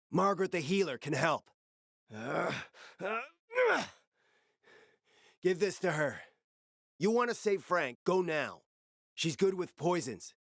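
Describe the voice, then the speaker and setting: A man playing a suave, flirtatious, scheming spymaster in a video game, with a smooth, theatrical, sardonic and teasing manner. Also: on the high side, fairly bright tone, no room sound, studio quality, exaggerated voice. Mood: urgent, coaxing. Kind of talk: video game character acting.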